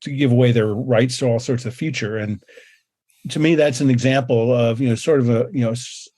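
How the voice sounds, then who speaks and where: Male panelist, mellow dark tone, hesitant, thinks aloud with plenty of fillers. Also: mid-range voice, fairly even intonation, brisk. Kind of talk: casual panel discussion.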